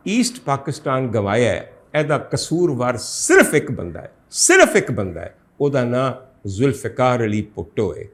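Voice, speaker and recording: A man voicing an indignant, outspoken Punjabi woman who recounts her grievances with emphatic, lamenting exclamations in a storytelling talk. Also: mid-range voice. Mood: accusing, emphatic.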